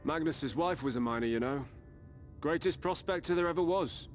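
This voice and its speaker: A man's voice: a little high, moderate pace, close to an everyday voice. A man playing a plain-spoken, sombre working villager in a fantasy video game, folksy and reflective. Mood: sombre, reminiscing. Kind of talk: video game dialogue acting. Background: faint music.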